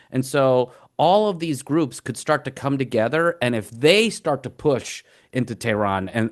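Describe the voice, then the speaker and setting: Earnest, impassioned male radio guest. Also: medium pitch. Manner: earnest and impassioned, talks fast with restarts. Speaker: guest commentator. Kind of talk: conversational radio interview.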